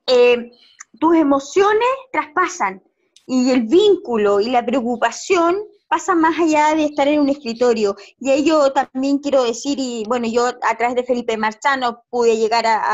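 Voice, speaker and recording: A high-pitched woman's voice, heard through dull, lo-fi call audio. She interviews conversationally, building long, roundabout questions with many small asides. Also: moderate pace, a little mellow.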